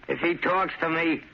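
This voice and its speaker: A man's voice: on the high side, gravelly. An American man playing a simple, obedient shell-shocked war veteran, childlike and eager, in old radio drama style. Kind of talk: 1930s-40s radio acting. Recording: muffled, noisy old radio recording.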